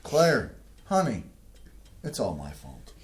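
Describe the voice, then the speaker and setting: A woman playing a gruff, protective older husband and father in a scripted staged reading. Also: a bass voice, huge pitch swings, slow pace, full character voice. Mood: apologetic. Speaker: actor in a staged play reading. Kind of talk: scripted community theatre acting. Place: indoor playhouse staged reading. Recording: echoey room with clear background noise.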